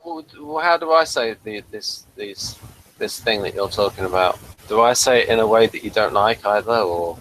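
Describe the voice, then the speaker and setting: A man's voice: fairly low pitch, moderate pace, fairly bright tone, a little hiss or hum, poor audio. A man hosting a review podcast in casual conversation, with a questioning, tentative way of talking.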